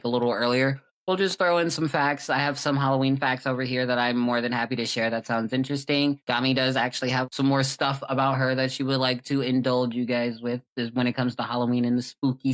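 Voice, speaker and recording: Young woman with an extremely low voice. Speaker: podcast host. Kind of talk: casual, chatty banter.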